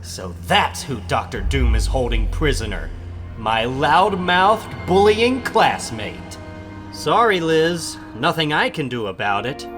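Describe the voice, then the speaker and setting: Man playing a lightly high-voiced, wry teenage boy. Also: sing-song, slow pace, a bit bright, soft background music, full character voice. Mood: sardonic. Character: Peter Parker, wry, bookish teenage schoolboy. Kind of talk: dramatic comic book reading.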